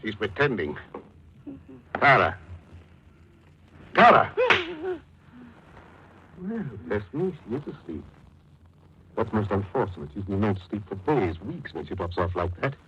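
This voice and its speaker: An actor playing a florid, courteous and menacing blackmailer with pompous, theatrical diction in a 1940s radio mystery. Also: male voice, on the low side, very animated, a little slow, long pauses, bright, forceful tone, slight background noise, full character voice. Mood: fussy, flustered. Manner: pompous, elaborately polite, theatrical. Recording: dull 1940s radio recording.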